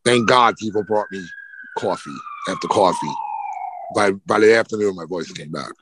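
A man chatting casually on a podcast, handing out practical tips with relaxed asides. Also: lowish voice, normal speed, slight background noise, low-quality recording. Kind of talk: casual advice chat.

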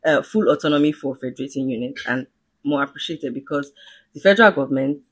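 A woman from Nigeria, a guest in a casual political chat, animated and emphatic, often laughing. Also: extremely low pitch, quick pace, slightly rough. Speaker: podcast guest.

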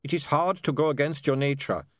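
Man acting an earnest, oddly formal fellow, lifted pitch. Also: very animated, normal speed, breathy edge, no room sound, clean studio sound.

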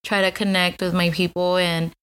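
A woman from Austin, Texas, speaks with a Spanish accent, since Spanish was her first language. She co-hosts a podcast in a casual, earnest way, with a somewhat low voice. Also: flat delivery, moderate pace, a bit bright, smooth. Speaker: podcast co-host. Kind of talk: casual chat.

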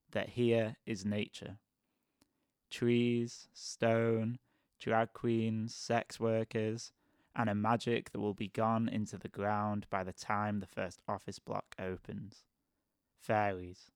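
A man reading his own poetry aloud slowly and evenly, then chatting as a podcast guest in a measured, even tone. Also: on the low side, clear, resonant tone, no room sound, clean studio sound. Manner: slow, measured, even delivery. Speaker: guest poet.

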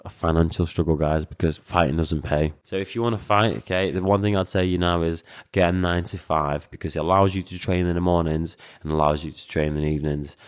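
A man's voice: low-pitched, steady tone, fast, few pauses, clear, resonant tone. A Latvian-born man in his twenties with a Scouse accent, a kickboxer and coach talking casually as a guest, full of fillers and restarts. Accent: Liverpool (Scouse). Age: 20s. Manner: rambling, many fillers and restarts, acts out quoted speech. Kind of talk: casual interview conversation.

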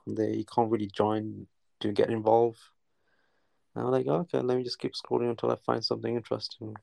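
A man from Newcastle, England, a guest caller chatting casually, talking haltingly with many restarts and fillers. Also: mid-range voice, flat delivery, gravelly, dark tone, dry recording. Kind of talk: casual chat.

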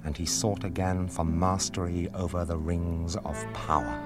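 A man's voice: low voice, steady tone, a little slow. A man narrating a radio drama in a solemn, grave and portentous storytelling manner. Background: music.

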